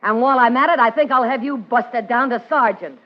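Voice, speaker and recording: A woman's voice: fairly high pitch, a little fast, heavy background noise, lightly acted. An actress playing a brazen, scheming, slangy underworld woman in an old-time radio crime drama. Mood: defiant. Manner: brassy, defiant, slangy. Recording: dull lo-fi old radio recording with music.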